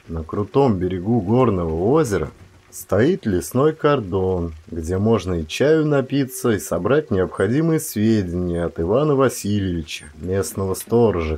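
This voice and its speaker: A male actor narrates a literary audiobook in a low voice. His phrasing is measured, and he stretches chosen words for emphasis. The delivery is that of an expressive storyteller reading prose.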